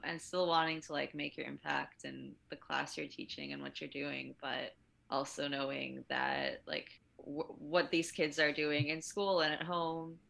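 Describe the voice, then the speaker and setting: A woman's voice: sits low, creaky, a bit bright, rough recording. A woman hosting a podcast, talking in a casual, conversational way. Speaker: podcast host, dance teaching artist.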